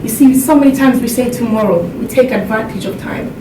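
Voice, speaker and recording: Earnest woman speaker in an echoey hall. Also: brisk. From Jamaica. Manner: earnest, laughs along with the audience. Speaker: motivational speaker. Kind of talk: motivational seminar talk. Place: seminar room with an audience. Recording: echoey hall, distant mic, background rumble.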